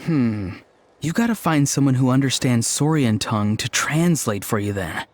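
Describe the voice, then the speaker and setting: A man's voice: medium pitch, expressive, normal speed, close to an everyday voice. An actor playing a laid-back, friendly young man in a video game, talking in a casual, slangy way. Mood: relaxed. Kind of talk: video game character dialogue. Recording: faint noise behind the voice.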